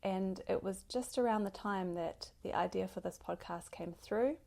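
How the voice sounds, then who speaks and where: A woman hosts her podcast alone, talking in a grateful, effusive way with plenty of fillers. Her voice has a gravelly fry and a dark, mellow tone. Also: mid-range voice, normal speed. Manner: warm, gushing, grateful, with soft laughs and fillers. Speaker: podcast host. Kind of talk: solo podcast introduction.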